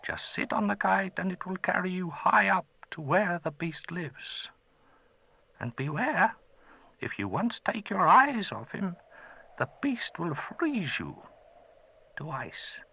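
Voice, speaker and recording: A man voicing a kindly old male magician who speaks gravely and gives solemn warnings in a storybook. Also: high voice, very animated, slow pace, sharp tone, voice pushed up, heavily acted.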